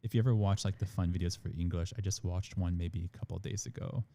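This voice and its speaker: A man with a deep, warm voice talks as a guest on a college podcast. He rambles casually, stacking fillers as he thinks aloud. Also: fairly even intonation, fast. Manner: rambling, many "like" and "again" fillers. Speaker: international admissions manager, guest. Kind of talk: conversational podcast interview.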